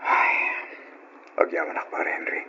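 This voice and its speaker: A man's voice: measured pace, bright, forceful tone. An actor with an Ilocano accent playing a guilty, evasive married man who hesitates and stammers in an old radio drama. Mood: sheepish. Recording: lo-fi radio drama, faint noise.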